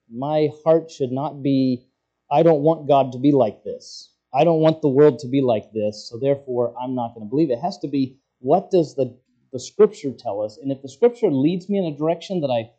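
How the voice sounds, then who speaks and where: A male preacher with a very dark, mellow voice explains scripture in a teaching style. He tends to halt and feel out his words as he goes. The recording is somewhat dull and muffled. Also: a little high. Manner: halting, searching for words aloud. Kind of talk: expository sermon, teaching scripture verse by verse.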